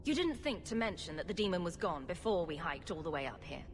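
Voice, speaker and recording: A woman's voice: on the low side, sing-song, quick pace. An actress playing a sarcastic adventurer woman with a direct, sardonic manner in a fantasy video game. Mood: exasperated, sarcastic. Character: wry, sarcastic adventurer woman, a rogue hero. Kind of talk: video game dramatic acting. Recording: faint background ambience noise.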